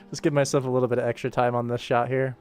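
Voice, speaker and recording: Chill guy livestreaming his work, average pitch, kinda thinking out loud, quiet music behind him. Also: level tone, fast talker. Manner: laid-back, thinking aloud while working. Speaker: animator, livestream host. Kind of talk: casual livestream work commentary.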